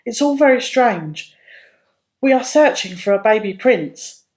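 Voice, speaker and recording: A woman's voice: on the high side, animated, measured pace, a little mellow. A woman voicing a courteous, earnest young man, a royal traveller in a storybook tale. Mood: puzzled, earnest. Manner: polite, earnest.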